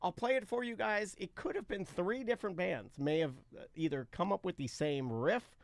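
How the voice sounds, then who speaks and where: A man with a fairly high speaking voice hosts a casual talk show. He rants and repeats phrases to drive points home. The sound is close and dry. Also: normal speed, vocal fry, no echo. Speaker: podcast and radio show host. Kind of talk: casual banter and commentary.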